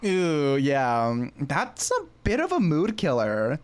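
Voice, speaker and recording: A woman giving casual gameplay commentary as a streamer, reacting in an expressive, sympathetic and jokey way. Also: low-pitched, slow, noisy background.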